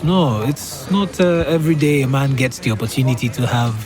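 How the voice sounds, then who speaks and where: A man acting as a young Nigerian guy in an audio drama speaks in a casual, bantering way at a middling pitch. Music plays clearly under his voice. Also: animated, a little fast, close to an everyday voice.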